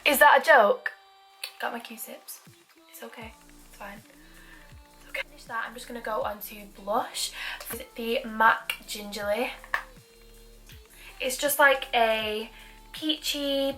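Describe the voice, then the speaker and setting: A woman's voice: on the high side, sharp tone, low-quality recording. A young woman with a Manchester accent, chatting through a makeup tutorial with self-deprecating asides. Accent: Mancunian. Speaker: beauty vlogger.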